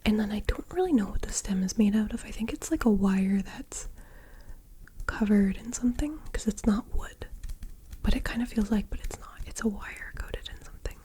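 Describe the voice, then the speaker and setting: A woman rambling in a half-whispered, soft-spoken ASMR style, breathy and unhurried, talking close to a sensitive mic. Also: medium pitch. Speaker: ASMR creator.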